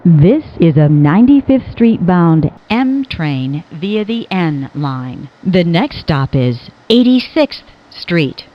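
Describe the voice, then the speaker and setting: A woman's slightly low voice delivers scripted train announcements at a very unhurried pace. Her pitch moves up and down in a lively way across measured, pieced-together phrases. The recording is dull and lo-fi. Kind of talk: scripted automated transit announcements.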